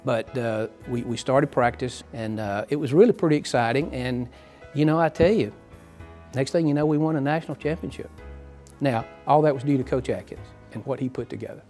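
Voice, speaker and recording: An older man in a dark studio, reminiscing about his playing days in a folksy, halting way with many restarts. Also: mid-range voice, faint music behind. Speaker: hall of fame inductee. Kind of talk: sit-down interview reminiscing.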